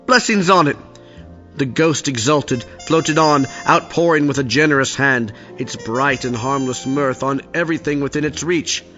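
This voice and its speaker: A man's voice: medium pitch, normal speed, pushed and bright. A man narrating a Victorian story as a scripted audio play. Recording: dull lo-fi audio with music behind.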